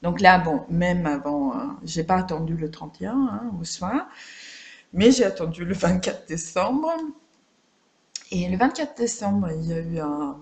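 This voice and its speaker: A woman talks off the cuff to her vlog viewers. Her voice sits a little low for a woman and crackles with fry. She is reflective and gently self-deprecating, searching for words as she goes. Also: animated, unhurried. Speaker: craft vlogger. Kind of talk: casual, unscripted personal vlog chat.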